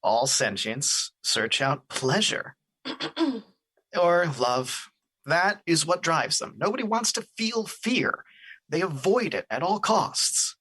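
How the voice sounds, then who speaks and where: Audio drama: male actor as a self-satisfied hedonistic spirit, higher register, slow, languid and blunt. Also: very animated, sharp tone, husky, full character voice, low-quality recording. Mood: blunt, dismissive.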